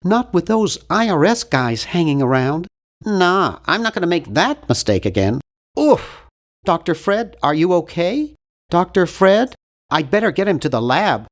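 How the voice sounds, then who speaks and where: A bookish, timid young man from a cartoon adventure game. His voice sits high for a male and swings widely in pitch. He speaks with prim, pedantic care, fussing over every detail. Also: very animated, a little slow. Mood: fussy, doubtful, then anxious. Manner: pedantic, prim, fussy delivery.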